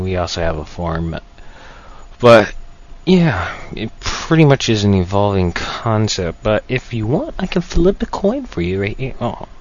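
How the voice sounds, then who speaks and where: Slow, low-voiced rambling podcast host. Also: male voice, muffled recording. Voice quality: rambling, many fillers and self-corrections. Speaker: part-time podcast host. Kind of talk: casual rambling chat.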